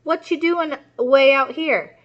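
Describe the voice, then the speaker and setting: A woman voicing a mean, hungry male monster truck who talks gruff and boastful in a read-aloud storybook. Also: high voice, slow, voice pushed up. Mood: cocky. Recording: muffled lo-fi recording.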